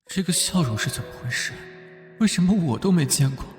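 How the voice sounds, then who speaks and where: Guy voicing earnest cop in an animated drama, really lively pitch swings, music loud behind him. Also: brisk, lightly acted. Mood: flustered, jealous. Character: earnest male police detective, flustered and jealous in private. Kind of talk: animated drama voice acting with inner monologue. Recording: loud background music under the voice.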